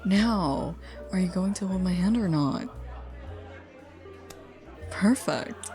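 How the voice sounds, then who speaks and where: A woman's voice: fairly low pitch, dark tone, faint music behind, a faint crowd. A woman acting a flirty, teasing stranger in an intimate ASMR roleplay, coaxing playfully. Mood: flirty, inviting. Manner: playful, coaxing, drawn-out teasing with breathy asides. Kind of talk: ASMR roleplay, intimate one-sided dialogue.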